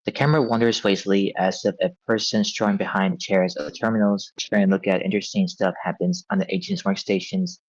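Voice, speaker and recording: A man's voice: low-pitched, dry recording, muffled recording. A man narrating a script's stage directions at a table read.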